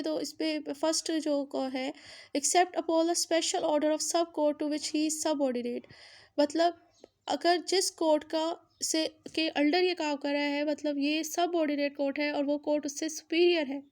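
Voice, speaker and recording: A woman's voice: high-pitched, fairly even intonation, measured pace, a little mellow. A woman with a Pakistani accent giving an explanatory law lecture, mixing in English legal terms and restating each point in a didactic way. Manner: didactic, repeats and rephrases points.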